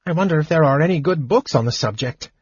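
A man voicing a small, cheeky, eager male kender in a fantasy audiobook, with a breathless, excitable delivery. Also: quick pace, breathy edge, dry recording, lo-fi sound. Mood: rueful. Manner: high-pitched, breathless, eager. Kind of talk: dramatized audiobook fantasy narration.

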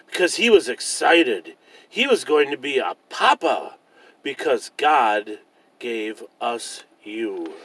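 A man voicing a loving, gentle mother bear who reassures her cub in a children's storybook. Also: medium pitch, sing-song, takes it slowly, breathy edge, exaggerated voice.

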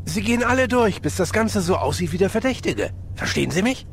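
A man playing a courteous, confident police inspector in a German audio drama, explaining things politely and briskly. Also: high voice, sing-song, gravelly, slight background noise, exaggerated voice. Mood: patient, instructive.

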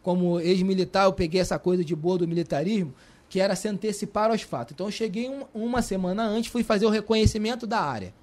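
A Brazilian man with a Brazilian Portuguese accent, a guest telling his story in a lively, colloquial way, full of restarts and repetitions. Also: high-pitched, quick pace. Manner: animated, colloquial, repeats and restarts. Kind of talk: casual storytelling.

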